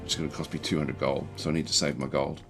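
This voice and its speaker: A man with a croaky, recovering voice giving casual, halting livestream commentary as he plays a strategy game and chats with viewers. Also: very deep voice, level tone, music in the background. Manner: halting, thinking aloud. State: croaky throat, recovering from feeling unwell. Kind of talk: casual game commentary, reading and answering chat.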